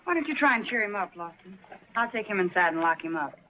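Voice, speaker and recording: An actress playing a brisk, firm young Western sharpshooter with an American accent. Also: female voice, medium pitch, animated, sharp tone, slight background noise. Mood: concerned. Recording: dull old TV soundtrack, music behind.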